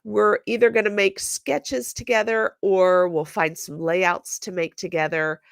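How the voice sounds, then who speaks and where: A woman talks to her viewers in a slow, unhurried, chatty way, her voice stuffed up from a cold. Her tone sits a little low, with a gravelly fry in it. The sound is dry and close, with no room echo. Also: level tone. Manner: chatty, apologetic, lots of fillers. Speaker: scrapbooking channel host. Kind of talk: casual chat to viewers.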